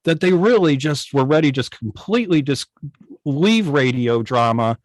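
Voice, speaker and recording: A middle-aged man explaining things casually on a video call, often pausing to search for his words. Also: fairly high pitch, lively intonation, dry recording. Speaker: guest, old-time radio station operator. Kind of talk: casual explanatory talk on a club video meeting.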